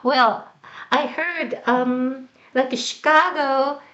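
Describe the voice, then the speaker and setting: A Japanese woman hosting an English-learning talk, asking questions in halting English and summarizing in rapid Japanese. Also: sits high, steady tone, sharp tone, lo-fi sound. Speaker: host, English-learning show. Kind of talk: bilingual casual interview.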